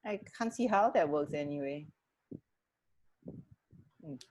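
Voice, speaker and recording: A middle-aged woman chats casually as a radio co-host. Her voice is slightly on the low side, and she is speaking over a video call on an earphone headset. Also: lively intonation, takes it slowly, darkish tone, rough recording. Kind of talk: casual chat. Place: indoor room, video call.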